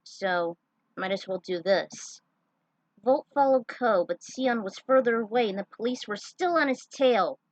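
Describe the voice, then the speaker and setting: A woman's voice: a little high, lively intonation, unhurried, dry recording, far from the mic, muffled recording. A woman reading a scripted story aloud, breaking off now and then for casual asides. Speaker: narrator reading own fan fiction.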